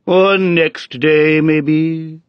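A solemn storybook frog footman, drawls every phrase with stubborn, dim indifference. The voice sits well above his natural register and moves very slowly, in a dry, slightly muffled recording. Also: fairly high pitch, little pitch movement, clear, resonant tone, no echo, pitched above the performer's own voice. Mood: placid indifference. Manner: slow, drawn-out, solemn, stubbornly unhurried delivery. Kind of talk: children's storybook character voice.

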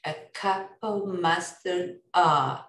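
Woman, Bosnian native speaker, hesitant careful repetition, roomy echo, very slow. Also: fairly low pitch, a bit bright. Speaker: learner repeating drills. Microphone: webcam on a video call. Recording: echoey room on a video call.

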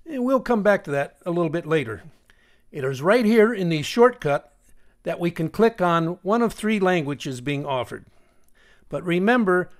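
High-pitched man narrating a software tutorial. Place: voice-over for a screen recording.

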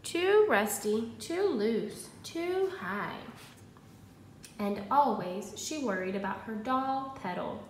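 A woman in her thirties, a kindergarten teacher giving an encouraging read-aloud lesson to young children, pausing after questions. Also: animated. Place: indoors at home, small room. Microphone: phone at arm's length. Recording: roomy echo, faint noise and rumble.

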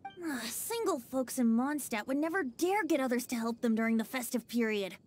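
A man's voice: very high voice, expressive, normal speed, slight background noise. A performer voicing a playful, carefree young male bard in a video game. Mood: wry. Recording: game audio with soft music.

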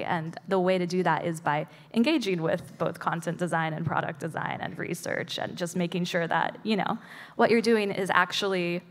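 A woman's voice: fairly low pitch, a bit bright. Chatty woman saying "like" a lot. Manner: casual, heavy use of "like". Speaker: content designer on a panel. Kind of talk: conference panel talk. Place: conference stage in a large hall. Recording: long reverb of a large hall.

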